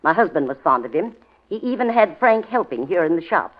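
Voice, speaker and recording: An actress playing an anxious, suspicious widow in a 1940s radio drama. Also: female voice, lowish voice, big pitch swings, brisk. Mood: worried, suspicious. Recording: dull old 1940s radio recording.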